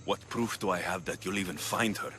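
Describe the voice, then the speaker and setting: Young male mercenary hero, direct, earnest, bright tone, game. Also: deep voice, fairly quick, a little hiss or hum. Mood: determined. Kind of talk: video game cutscene acting.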